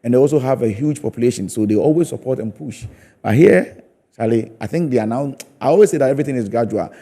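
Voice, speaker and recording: A man's voice: medium pitch, animated, fast talker, dark tone. A man with a Ghanaian accent speaking conversationally as a guest in a TV interview, often repeating phrases for emphasis. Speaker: theatre play maker and comedy performer, interview guest.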